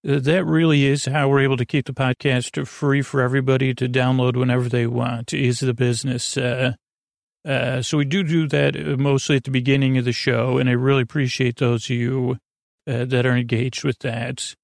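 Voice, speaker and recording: A man hosting a bedtime podcast in a halting, meandering monologue that keeps drifting into tangents, with a creaky, gravelly voice. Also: medium pitch, flat delivery, dry recording, clean studio sound. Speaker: podcast host.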